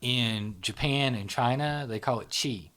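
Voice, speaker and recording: A man's voice: medium pitch, a little slow, fairly bright tone. A man, the founder of a psychology channel, explaining ideas in casual conversation with many fillers and tag questions, often trailing into a near whisper.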